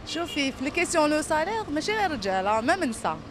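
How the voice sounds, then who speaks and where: An Algerian woman answering casually on the street. She speaks very fast with lively, swinging intonation and drops in French words, with clear street noise around her. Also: high-pitched. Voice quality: frequent French words mixed in. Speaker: passer-by being interviewed. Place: outdoors on a busy city street. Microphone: reporter's handheld microphone.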